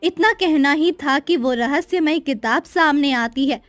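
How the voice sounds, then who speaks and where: High-pitched woman narrating a children's story. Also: a little slow, a bit bright, dry recording, faint music behind.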